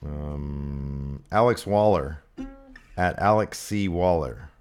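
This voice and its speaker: A man's voice: extremely low pitch, takes it slowly, faint music behind. A man co-hosting a casual livestream with wry, offhand banter. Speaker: podcast co-host.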